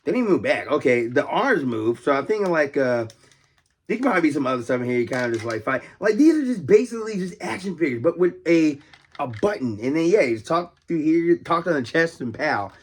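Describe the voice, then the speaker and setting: A man's voice: on the high side, big pitch swings, a little fast, slightly rough. A man hosting a casual collector's video, talking off the cuff in a rambling, enthusiastic way and exclaiming over details. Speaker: host of a toy collecting video series. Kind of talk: casual off-the-cuff toy show-and-tell.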